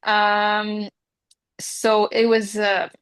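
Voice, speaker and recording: A woman's voice: medium pitch, monotone, drawn-out words, a bit bright, clean tone, dry recording. Young Hebrew-native woman, earnest and rambling. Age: 20s. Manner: earnest, rambling, many fillers. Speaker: Reiki healer guest. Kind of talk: casual interview talk.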